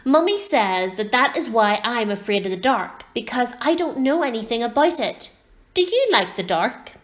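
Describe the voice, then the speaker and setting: A woman's voice: fairly high pitch, sing-song, normal speed, a bit bright. A woman voicing an eager, naive young male baby barn owl in a storybook, earnest, inquisitive and easily huffy. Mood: puzzled, frank.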